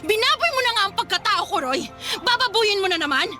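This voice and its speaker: A woman's voice: extremely high pitch, expressive, fast, over music. A woman playing a scorned, proud ex-mistress in a Filipino radio drama, confrontational, sarcastic and emotional. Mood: hurt, indignant.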